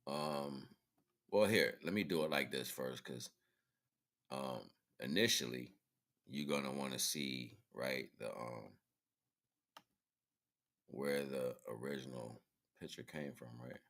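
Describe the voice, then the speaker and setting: A man's voice: very deep voice, slow pace, creaky, pauses often, a bit bright. A man talking casually and informally as the host of an online livestream, rambling and colloquial as he addresses his listeners.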